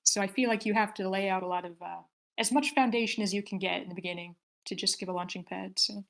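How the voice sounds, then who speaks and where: A woman's voice: mid-range voice, fairly even intonation, fast, vocal fry, a bit bright. A woman hosting an informal group discussion, chatting casually and wordily as she feels her way toward her points. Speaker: host of a screenplay table read discussion. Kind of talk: casual chat.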